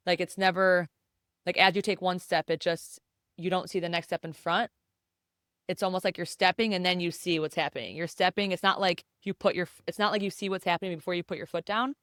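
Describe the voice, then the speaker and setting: A young woman hosting a podcast, talking in a loose, rambling way full of "like" and "um" and the odd casual swear. Her voice is smooth and clear, recorded close and dry with no room sound. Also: on the low side, fairly even intonation, fairly quick, a bit bright, no echo. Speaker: podcast host.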